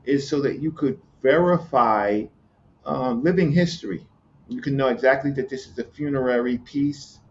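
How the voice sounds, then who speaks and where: A man from Virginia, explaining hieroglyphs in casual chat, halting, with many fillers and repeated words. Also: medium pitch, slight background noise. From Newport News, Virginia, USA. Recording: muffled lo-fi audio with faint ambience.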